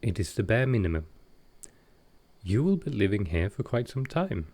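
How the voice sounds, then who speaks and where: A man playing a gentle, awkward male vampire in an intimate comfort roleplay, speaking tenderly and haltingly. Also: lowish voice, very animated. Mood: apologetic, caring. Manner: halting, hesitant, tender, soft-spoken.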